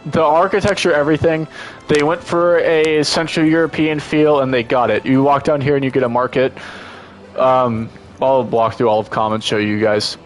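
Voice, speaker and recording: A man's voice: medium pitch, fairly even intonation, normal speed, faint music behind, poor audio. A congested man giving casual, enthusiastic gameplay commentary as a streamer, rambling and restarting his sentences often.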